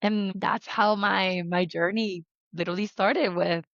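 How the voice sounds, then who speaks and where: A woman's voice: medium pitch, steady tone, a little slow, fairly bright tone, no room sound. A young woman from Mexico, a podcast guest telling her life story in an earnest way with questions to herself. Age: young adult. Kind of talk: interview conversation. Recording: dry, slightly dull lo-fi sound.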